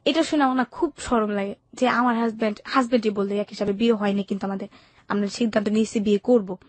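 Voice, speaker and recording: Young female interview guest, Bangladeshi accent, candid colloquial storytelling, quick pace, mid pitch, dry sound. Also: steady tone, no echo. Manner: colloquial, recounts events with quoted dialogue. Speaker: interview guest telling her life story. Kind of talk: candid personal storytelling in conversation.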